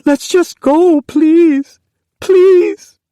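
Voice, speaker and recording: A man voicing a frightened young girl who pleads in high cries. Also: steady tone, normal speed, darkish tone, slightly rough, no room sound, clean studio sound. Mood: desperate.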